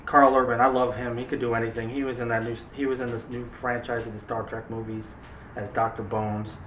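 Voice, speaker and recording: A man's voice: mid-range voice, level tone, brisk, fairly bright tone. Man chatting movies in an echoey room. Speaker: co-host of a movie discussion show. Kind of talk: opinionated casual movie chat. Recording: roomy echo with faint background noise.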